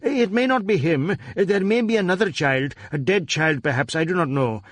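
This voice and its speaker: A man voicing an anxious, wealthy Indian businessman in a crime story, halting and repeating himself. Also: sits high, lively intonation, no echo, pitched above the performer's own voice. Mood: anguished babbling. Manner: halting, repetitive, incoherent babbling. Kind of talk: dramatized audiobook dialogue.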